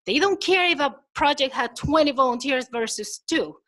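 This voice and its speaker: Minnesota-accent lady giving a conference talk, high voice, chatty, keeps asking the room questions. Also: moderate pace. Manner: chatty, acts out quotes in lively voices; engaged with audience, asks rhetorical questions. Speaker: conference presenter. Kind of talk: presenting to a conference audience.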